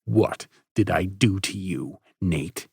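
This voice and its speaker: A man's voice: sits low, slow pace, creaky, dark tone, slightly rough, dry recording, clean studio sound, heavily acted. A man voicing a hurt, withdrawn teenage boy. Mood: subdued. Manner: subdued, stammering.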